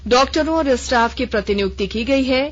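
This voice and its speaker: A woman newsreader reading a formal regional radio news bulletin in a measured, even way. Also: sits high. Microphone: studio microphone. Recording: dull lo-fi radio broadcast.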